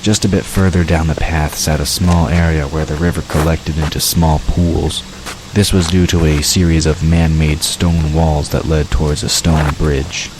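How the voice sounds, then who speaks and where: A man reading scripted story narration for an audio drama, with watery ambience behind him. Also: rumbling low. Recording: constant rain-like hiss behind voice.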